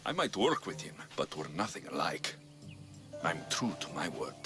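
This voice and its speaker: Blunt, low-voiced young mercenary, lively delivery. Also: male voice, moderate pace. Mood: blunt, irritated. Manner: blunt, sardonic. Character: gruff young male mercenary, wry and impatient. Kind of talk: video game cutscene acting. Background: music.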